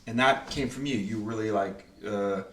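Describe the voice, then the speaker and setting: A man's voice: lowish voice, normal speed, a bit bright. Host on a cast chat: man, loose, chatty, lots of fillers, roomy sound, mic off at a distance. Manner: loose, filler-heavy, asks many questions. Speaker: host and creator of the radio play. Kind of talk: casual behind-the-scenes chat.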